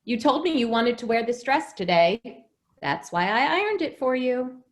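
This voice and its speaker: A woman playing a gentle, fussing nanny who coaxes and soothes with forced cheer in an old-time radio drama. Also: a little high, sing-song, normal speed. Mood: soothing, fussing. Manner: coaxing, soothing, falsely cheerful. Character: gentle, fussing nanny minding a little girl.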